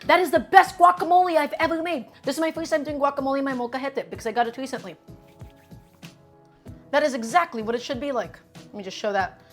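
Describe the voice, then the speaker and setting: Woman streamer, chatty cooking host, slightly high voice, soft music in the background. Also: fast talker. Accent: her own distinct accent, not Brooklyn. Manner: upbeat, talks chat through each step, says "guys" and "okay" often.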